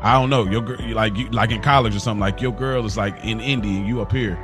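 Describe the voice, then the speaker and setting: A man's voice: fairly low pitch, fairly even intonation, quick pace. A man co-hosting a podcast in casual, slangy chat, repeating words emphatically to make his point. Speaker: podcast co-host. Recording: music playing behind the voice. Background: background music.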